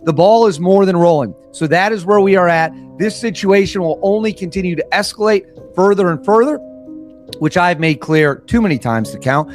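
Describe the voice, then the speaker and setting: Slightly hoarse man giving emphatic commentary. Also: sits high, lively intonation, moderate pace, soft background music. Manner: emphatic, repeats key points for stress. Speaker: political news commentator. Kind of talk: opinionated news commentary, reading articles aloud.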